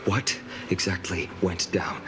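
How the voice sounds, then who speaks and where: Worried game hero, earnest n direct, lowish voice, music behind. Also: male voice, fairly even intonation, slow pace. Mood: worried, urgent. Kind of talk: video game voice acting.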